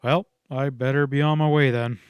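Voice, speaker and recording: A man's voice: medium pitch, fairly even intonation, moderate pace, clean tone, dry recording, close to an everyday voice. A man voicing a stubborn, honest frontier lawman in a western audiobook, terse, dogged and plain-spoken. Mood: unhappy.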